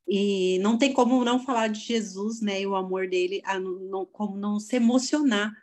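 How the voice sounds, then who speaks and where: A woman's voice: medium pitch, fairly quick, darkish tone. A woman hosting a faith podcast, asking long questions and affirming her guest. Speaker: podcast host. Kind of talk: casual interview chat.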